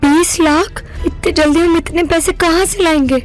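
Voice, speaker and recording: A young woman as a distressed but stubborn daughter in a melodrama. Her voice is high and full of earnest emotion, with soft music underneath. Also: fairly even intonation, normal speed, poor audio. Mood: shocked, worried. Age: young adult. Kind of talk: melodramatic Hindi audio drama acting.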